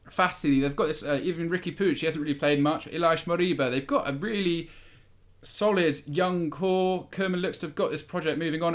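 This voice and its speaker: A man talking football as a podcast panelist, giving his analysis in a conversational, slightly halting way. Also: a little high. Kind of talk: football discussion, casual analysis.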